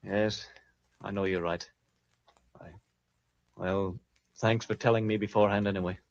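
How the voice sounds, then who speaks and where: An actor playing an earnest, troubled and proud American rancher in an old-time radio western. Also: male voice, on the low side, fairly even intonation, measured pace. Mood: despairing. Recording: muffled vintage radio drama recording.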